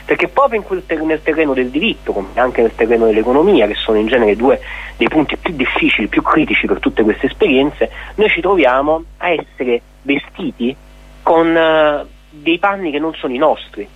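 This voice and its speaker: A man's voice: a little high, animated, normal speed, slightly rough. A man explaining things articulately and argumentatively in a radio interview. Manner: articulate, argumentative, rhetorical questions. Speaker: interviewee, activist of an occupied cultural centre. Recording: dull lo-fi radio sound.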